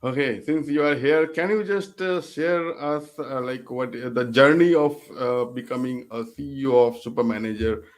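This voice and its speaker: A man hosting a talk show interview, speaking haltingly with many fillers. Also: mid-range voice.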